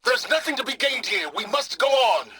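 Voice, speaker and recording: An actor playing a cold, calculating, aloof scholar in a video game, speaking formally with measured, condescending, sardonic phrasing. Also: male voice, very high-pitched, lively intonation, sharp tone, breathy edge, exaggerated voice. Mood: urgent combat shouting. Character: cold, calculating, aloof male scholar, middle-aged or older. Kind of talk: scripted video game voice acting.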